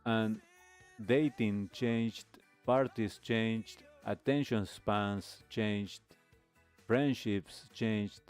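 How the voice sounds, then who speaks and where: Slow, low-voiced male radio host. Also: steady tone, clean tone, faint music behind. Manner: measured, deliberate phrasing with pauses. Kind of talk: reflective talk show monologue.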